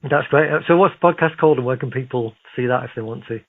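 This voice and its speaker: A man's voice: mid-range voice, fast talker, slightly rough. Phone-like male radio interviewer. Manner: encouraging, affirming. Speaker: radio interviewer, show host. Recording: narrow phone-like radio sound.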